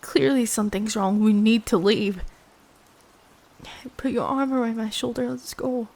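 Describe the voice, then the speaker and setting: A woman acting out a frightened young woman in a horror audio roleplay. Her voice is high and she takes her words slowly. She sighs, swears and can slip into breathy whispers as she talks to her partner. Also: a little mellow. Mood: urgent, frantic. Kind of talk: improvised ASMR audio roleplay.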